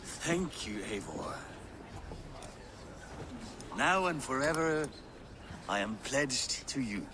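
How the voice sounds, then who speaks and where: This is a gracious Viking king. He speaks slowly and solemnly with a lively pitch for a game scene, over loud background noise.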